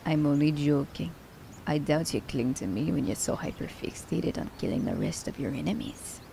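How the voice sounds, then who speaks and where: A woman acting a gravelly, teasing female assassin. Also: low voice, animated, warm tone, a little hiss or hum, full character voice. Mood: wry, playful. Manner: playful, teasing, unhurried. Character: teasing, worldly female assassin fond of her werewolf captive. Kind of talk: ASMR roleplay acting.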